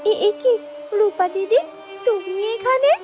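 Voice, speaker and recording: Woman doing an innocent young cartoon girl, extremely high pitch, hesitant, earnest, music behind. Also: a little slow. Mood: startled, polite. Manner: hesitant, repeating words. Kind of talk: Bengali cartoon story dialogue.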